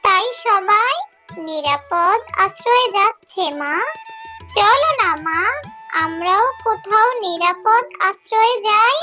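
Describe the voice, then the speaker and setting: A small fox girl with a tiny, very high voice. She speaks slowly, anxious and clinging, in a childlike pleading manner. Music plays behind her cartoon lines. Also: expressive, bright, forceful tone, exaggerated voice. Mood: worried. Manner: pleading, childlike, slow and deliberate.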